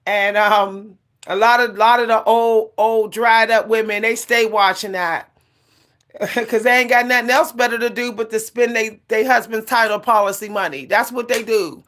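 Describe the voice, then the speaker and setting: A woman's voice: a little high, fairly even intonation, normal speed, a bit bright. A woman, ranting casually on her livestream with sass, repeating herself and laughing often. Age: 40s. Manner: sassy, repetitive, laughs often, colloquial. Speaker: livestream host.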